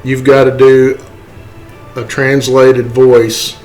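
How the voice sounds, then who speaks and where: A man with a mid-pitched voice walks through software as a tutorial instructor. His delivery is casual and explanatory, going step by step. He often pauses to check in with the learner. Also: level tone, soft background music, low-quality recording.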